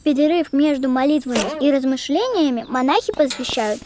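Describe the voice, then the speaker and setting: A small childlike cartoon creature, voiced by a woman, very high in pitch, curious and helpful. It exclaims and explains eagerly, with loud music running underneath. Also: fairly quick, close to an everyday voice. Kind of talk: animated children's cartoon acting.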